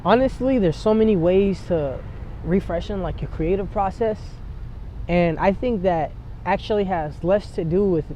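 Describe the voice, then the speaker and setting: A man's voice: high-pitched, normal speed, a little hiss or hum. A young man from San Diego, a rapper guest, chatting loosely with lots of fillers. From San Diego, USA. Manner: laid-back, rambling, heavy on filler words. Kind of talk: casual interview chat. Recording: dull lo-fi outdoor audio.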